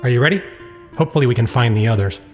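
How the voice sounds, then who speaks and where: A man's voice: mid-range voice, very fast, a little mellow, lightly acted. Audio drama lead, male actor: middle-aged scientist, worn down and remorseful, earnest; heavy reverb tail, music bed. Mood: remorseful, tender. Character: weary, regretful, burdened middle-aged father and scientist. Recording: long reverb, music underneath.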